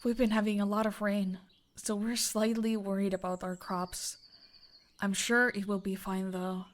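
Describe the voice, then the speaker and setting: A woman playing a modest, grateful farmer in a fantasy audio drama, gentle, polite and a little hesitant. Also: mid-range voice, flat delivery, normal speed, natural voice. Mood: sincere. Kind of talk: scripted fantasy audio roleplay.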